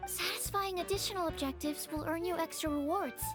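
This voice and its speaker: Helpful young alchemist examiner for a game: very high, polite, reassuring, with clear music underneath. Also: female voice, brisk. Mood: instructive. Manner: encouraging, clear explanatory delivery. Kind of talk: video game voice acting.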